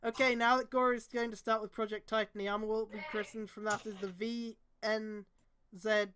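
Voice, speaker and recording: A woman's voice: mid-range voice, little pitch movement, a little fast, a bit bright, a little hiss or hum. A woman hosting a stream, reading fan fiction aloud with exasperated, mocking, sweary casual commentary.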